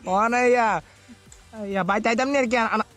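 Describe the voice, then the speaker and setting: A man's voice: very high-pitched, fairly even intonation, quick pace, fairly bright tone. A man with a Noakhali Bangladeshi accent making a casual prank phone call, hesitant and repeating himself. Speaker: prank caller. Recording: phone call with faint music.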